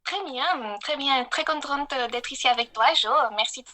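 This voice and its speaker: High-voiced woman. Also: normal speed, bright, forceful tone. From Mexico, grew up in Mexico City. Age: middle-aged. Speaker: podcast guest, architect. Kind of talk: conversational life story in an interview.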